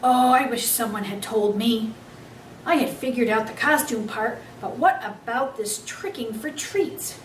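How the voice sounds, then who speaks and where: A woman reading a children's book aloud as an expressive storyteller. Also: fairly high pitch, unhurried, recorded from a distance. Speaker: story reader. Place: echoey room, away from the mic. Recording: roomy, off-mic, faint background noise.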